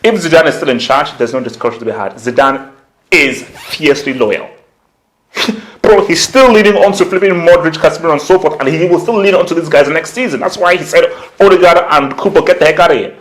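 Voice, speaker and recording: A man's voice: a little high, a little fast, low-quality recording. A man giving casual, opinionated football commentary as a vlog host, slangy and conversational, repeating points for emphasis. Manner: slangy, conversational, emphatic repetition, acts out imagined quotes. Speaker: football YouTuber, channel host.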